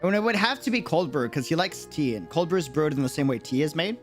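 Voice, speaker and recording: A man's voice: a little high, animated, fast. A man chatting casually and musingly on a game livestream in slangy speech, with game music behind him. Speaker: game streamer.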